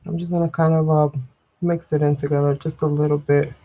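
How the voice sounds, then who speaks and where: A woman's voice: low-pitched, flat delivery, a little fast, dark tone, dull audio. A woman hosting a live crafting tutorial, chatty and rambling as she talks to viewers, sniffly and under the weather. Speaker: craft livestream host. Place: home craft desk. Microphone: overhead camera livestream, speaker off-frame.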